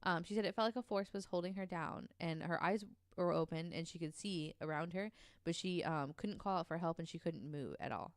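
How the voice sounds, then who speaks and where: A woman's voice: on the low side, brisk, no echo, studio quality. A woman co-hosting a podcast, casually telling a true story with lots of ums and likes. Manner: chatty, many ums and likes, laughs easily.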